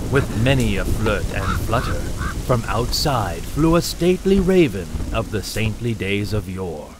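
Audio drama storyteller: solemn, theatrical gothic verse, low-pitched and unhurried. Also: male voice, a little hiss or hum. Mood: solemn, foreboding. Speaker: narrator.

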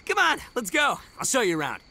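A man's voice: extremely high pitch, huge pitch swings, fairly quick, background noise, heavily acted. An actor playing a boastful, friendly young male oni in a video game, hearty and slangy.

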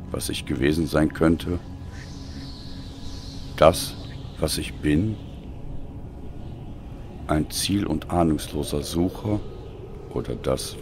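A man narrates a dark, dramatic story in a very deep voice. He takes his time, slow and solemn, with a brooding delivery. Clear background noise sits behind him. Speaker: audiobook reader.

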